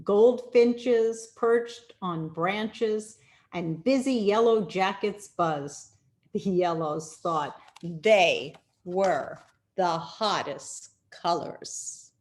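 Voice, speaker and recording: A woman reading a children's picture book aloud in an expressive, deliberate storytelling manner with dramatic pauses. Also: mid-range voice. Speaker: storyteller, rabbi.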